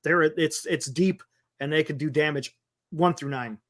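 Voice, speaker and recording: A man's voice: on the high side, a little slow, dry recording, studio quality. An American man co-hosting a casual sports talk show, speaking in a blunt, colloquial way.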